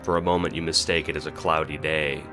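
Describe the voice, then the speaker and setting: A man's voice: extremely low pitch, level tone, quick pace. A man reading second-person scripted narration for an audio drama. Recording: background music under the voice.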